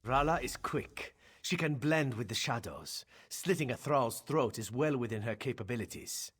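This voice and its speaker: A man playing a calculating male vampire rebel in a video game, husky, measured and commanding. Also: mid-range voice, animated, a bit bright. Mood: calm, calculating. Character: male vampire rebel leader, calculating foe of his ancient father.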